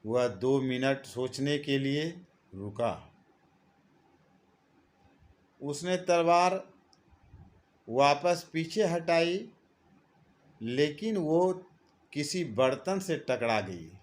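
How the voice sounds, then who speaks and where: Very slow, higher-pitched male storyteller. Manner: deliberate, phrase by phrase with frequent pauses. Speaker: storyteller, narrator. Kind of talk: scripted narration of a moral story.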